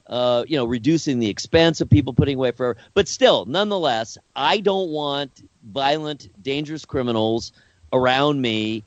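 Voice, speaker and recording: A man co-hosting a talk podcast, speaking earnestly and haltingly, often repeating words as he works out his point. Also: mid-range voice, no room sound, highs cut off. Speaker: podcast co-host. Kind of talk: casual discussion.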